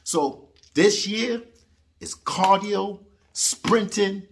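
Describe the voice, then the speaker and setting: A man in his late forties from New York, ranting in a loud, boastful, swear-filled casual vlog from his basement gym. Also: high-pitched, extremely slow. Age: 40s. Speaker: boxing vlogger. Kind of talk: casual profane vlog rant.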